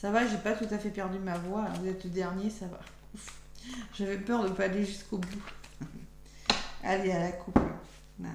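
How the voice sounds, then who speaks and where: Hoarse French woman reading tarot cards. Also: medium pitch, fairly even intonation, normal speed, long pauses. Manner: chatty, thinks aloud while drawing cards. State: losing her voice, talking softly. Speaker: tarot card reader. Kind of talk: weekly astrology card reading. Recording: echoey room with faint hum.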